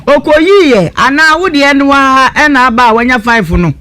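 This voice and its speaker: Bright-voiced Ghanaian woman radio host. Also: high-pitched, lively intonation, normal speed. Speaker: radio talk show host. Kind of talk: questioning guests on a live radio show.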